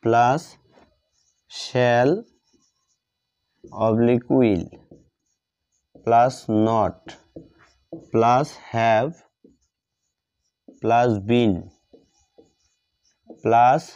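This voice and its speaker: Slow-paced male teacher, dull lo-fi recording. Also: medium pitch, steady tone, darkish tone, clear, resonant tone. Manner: repetitive, dictating word by word. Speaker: English grammar teacher. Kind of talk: step-by-step lesson, writing out examples. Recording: dull lo-fi sound.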